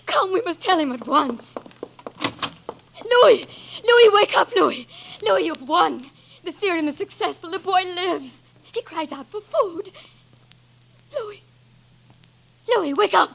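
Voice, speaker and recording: Devoted wife, high voice. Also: female voice, animated, slow, creaky, pauses often, fairly bright tone, heavily acted. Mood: excited, urgent. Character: devoted, anxious wife of a scientist. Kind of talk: 1940s radio drama acting. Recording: faintly noisy 1940s radio broadcast.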